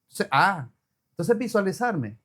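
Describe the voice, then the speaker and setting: A man's voice: sits high, very animated, measured pace, a bit bright. A man being interviewed as an entrepreneur, talking earnestly, repeating phrases and quoting the Bible. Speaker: guest entrepreneur. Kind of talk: casual chat with preacherly flourishes.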